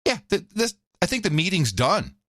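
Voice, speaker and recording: A man co-hosting a podcast in casual chat, wry and animated, laughing often. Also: high voice, moderate pace, no room sound, studio quality. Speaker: podcast co-host.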